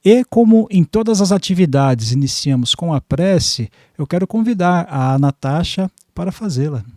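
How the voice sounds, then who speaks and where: A man's voice: a little high, lively intonation, normal speed, darkish tone, no room sound, studio quality. A man the São region hosting an interview, opening with formal welcomes and giving brief affirming replies. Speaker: host.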